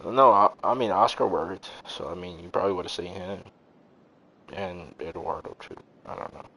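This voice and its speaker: Low-voiced man rambling on a livestream. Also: normal speed, sharp tone, slight background noise, poor audio. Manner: rambling, profane, slangy. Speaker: live streamer. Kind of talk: casual gaming livestream chat. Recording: game music behind the voice. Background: video game music.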